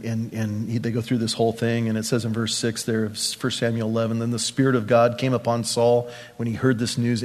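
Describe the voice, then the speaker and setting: A man preaching a conversational verse-by-verse sermon, often restating phrases and putting questions to his listeners. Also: lowish voice. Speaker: pastor, preacher. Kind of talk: sermon, verse-by-verse Bible teaching to a congregation. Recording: long hall reverb.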